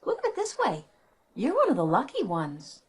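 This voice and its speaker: A woman's voice: medium pitch, huge pitch swings, breathy edge. A kindly, put-upon shop clerk woman. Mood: confiding, resigned. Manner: conversational, halting, confiding. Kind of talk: radio drama acting.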